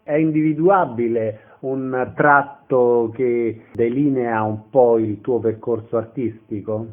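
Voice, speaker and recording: A man's voice: mid-range voice, fairly even intonation, takes it slowly. A man hosting a radio interview, putting questions to guests. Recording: thin, phone-like radio sound.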